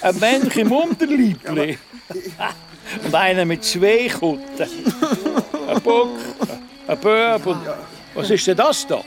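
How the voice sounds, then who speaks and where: An actor playing an amused, mocking monk with a teasing, pompous manner in a children's audio drama. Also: male voice, high voice, animated, slow, pauses often. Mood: mock astonishment.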